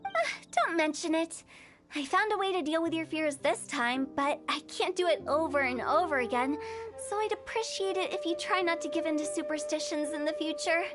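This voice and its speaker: A very high, girlish voice over prominent music: a woman acting a mischievous young funeral director from a video game. She is playful and theatrical, talking like a teasing show-woman. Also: moderate pace, natural voice. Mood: matter-of-fact. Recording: music behind the voice.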